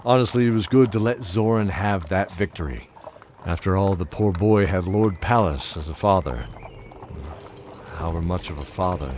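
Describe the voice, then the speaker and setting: A low-voiced old knight acted by a man recounts his past in an audio drama. He is fatherly and tender, reflective and a little halting, as if weighing each memory. Faint ambience sits behind him. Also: a little mellow, a little hiss or hum, lightly acted. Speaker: narrator and knight character.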